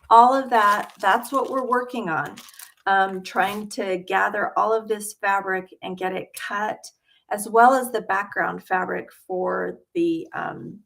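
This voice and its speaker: Middle-aged woman chatting on a live stream from her home studio, lots of ums, mid pitch. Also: fairly bright tone. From Utah. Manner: chatty, with frequent ums and uhs. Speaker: quilting channel host. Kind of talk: casual livestream chat.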